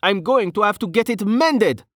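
A man's voice: a little high, expressive, slow pace, no room sound, clean studio sound, voice pushed up. A man voicing a lazy male mage adventurer who complains indignantly, in an audiobook. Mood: whiny complaining.

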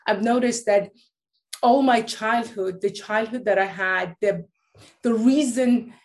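Late 30s woman from iran, stops and restarts a lot, opening up about her past. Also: fairly high pitch. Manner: halting, with many restarts and fillers. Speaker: guest, life coach. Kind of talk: candid personal storytelling.

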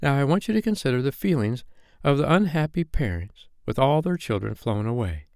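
A man's voice: medium pitch, lively intonation, brisk, vocal fry, a little mellow, no echo, clean studio sound, pitched above the performer's own voice. A man voicing a young girl who tells a bedtime story in a patient, motherly, slightly chiding way. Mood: triumphant, complacent.

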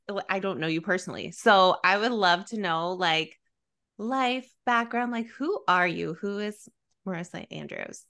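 Woman, bright voice, mid pitch, podcast co-host, informal interviewing. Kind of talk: casual chat, interviewing.

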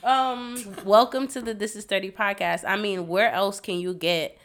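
A woman's voice: mid-range voice, steady tone, moderate pace. A woman hosting a podcast in casual chat, a bit congested, rambling and giggly with lots of fillers. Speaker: podcast host.

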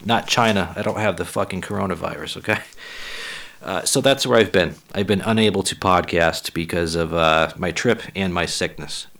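A man with a low voice, a little hoarse and talking casually into his own podcast. He rambles, swears freely and pokes fun at himself. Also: fairly bright tone. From Boston area. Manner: profane, rambling, self-deprecating. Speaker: podcast host and stand-up comic. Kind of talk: casual solo podcast monologue.

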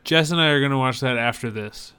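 A man's voice: medium pitch, quick pace, sharp tone, clear, resonant tone. A man co-hosting a podcast, talking in an easygoing, conversational way as he chats about films. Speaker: podcast co-host. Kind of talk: casual chat about films.

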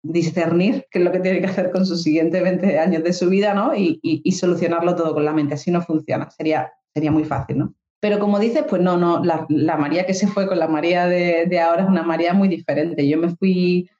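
A woman's voice: on the low side, fairly even intonation, brisk, warm tone, reverberant, lo-fi sound. A woman in her thirties from Spain with an Andalusian accent, a psychologist and coach talking reflectively as an interview guest, often tagging her sentences with little questions. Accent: Andalusian Spanish. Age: 30s. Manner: reflective, frequent tag questions. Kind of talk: casual interview talk.

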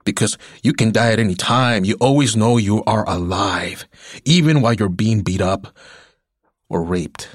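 An American man in middle age acting a world-weary, menacing yet tender ex-convict uncle in a radio drama, with a rough, husky voice. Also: on the low side, normal speed, no echo, clean studio sound, lightly acted. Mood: bleak, confiding.

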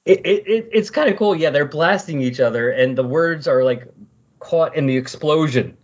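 A man with a slightly husky voice talking casually about comic books as a podcast host, retelling scenes in an animated way. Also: a little high, normal speed, dull audio. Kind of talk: casual comic book discussion.

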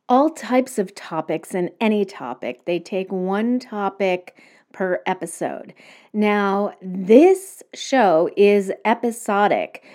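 Slow, gentle woman podcast host. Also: mid-range voice. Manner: gentle, conversational and unhurried. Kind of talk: solo podcast talk. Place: cottage in the woods.

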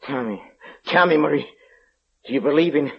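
A man's voice: fairly high pitch, normal speed, creaky, breathy edge. An actor playing a fearful, obsessed man who stammers and repeats words in a 1940s radio horror drama. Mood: agitated. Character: fearful, obsessed haunted man, unravelling into mania. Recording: muffled old radio recording with background noise.

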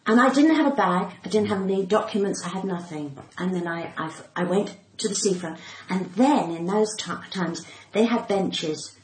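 A woman's voice: medium pitch, normal speed, hardly any pauses. An older woman with a cut-glass English accent, telling anecdotes in casual chat with a theatrical, teasing manner. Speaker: comedian and actor, interview guest. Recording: echoey room with clear background noise.

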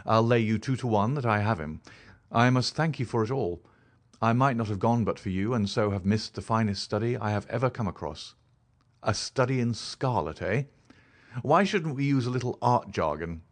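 A man voicing a sharp, clever male detective who asks quick, commanding questions. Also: fairly low pitch, expressive, lightly acted. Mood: brisk. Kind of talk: audiobook character voice.

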